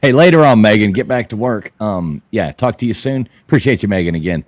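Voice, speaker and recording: A man's voice: fairly low pitch, expressive, fairly quick, no echo. A man teasing and bantering wryly in a casual live chat.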